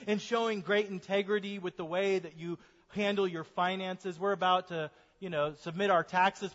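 A man preaching a sermon as a pastor, speaking earnestly and slowing down to stress key points. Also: high-pitched, fairly bright tone. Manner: earnest preaching, slows for emphasis. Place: church sanctuary. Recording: dull sermon recording in a reverberant church.

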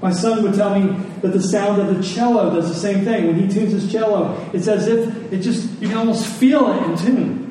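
A man's voice: sits high, moderate pace, few pauses, mellow. A middle-aged man preaching a sermon in an earnest, conversational way, repeating phrases for emphasis. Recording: echoey room, distant mic, faint noise.

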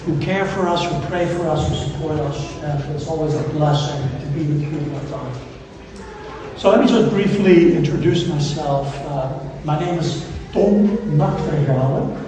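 A man's voice: on the high side, a crowd in the background. Man speaking in echoey church. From Belgium. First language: Flemish. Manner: earnest, measured, hesitant with frequent fillers. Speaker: visiting missionary speaker. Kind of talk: church talk from the pulpit. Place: church sanctuary. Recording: echoey church hall from far off.

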